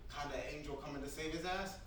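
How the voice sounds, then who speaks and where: A man's voice: fairly high pitch, fairly even intonation, quick pace, close to an everyday voice. A man playing a restless, defiant prisoner in his early twenties, brash and slangy, in a staged drama reading. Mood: defiant, troubled. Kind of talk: staged reading of a film script. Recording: very echoey, distant, noisy room recording.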